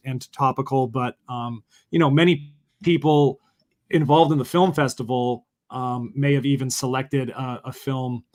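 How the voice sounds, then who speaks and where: A man in casual conversation as a guest, his voice raspy and worn from long recording, speaking thoughtfully with some restarts. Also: medium pitch. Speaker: guest, film festival organizer.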